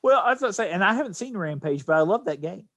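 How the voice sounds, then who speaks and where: A man's voice: sits high, lively intonation, quick pace, fairly bright tone, dry recording. A middle-aged man chatting casually as a podcast co-host.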